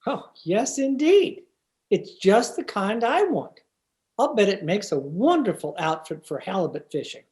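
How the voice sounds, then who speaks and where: An actor playing a polite, hesitant male high school biology teacher in an old-time radio comedy. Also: sing-song, unhurried, a little mellow. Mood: mild, agreeable. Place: remote recording over video call.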